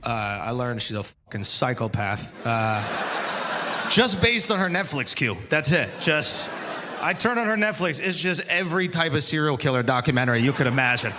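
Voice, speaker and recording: A male stand-up comedian with a brash delivery, shouting his punchlines. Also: medium pitch, expressive, moderate pace. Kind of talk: stand-up routine. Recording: noisy hall.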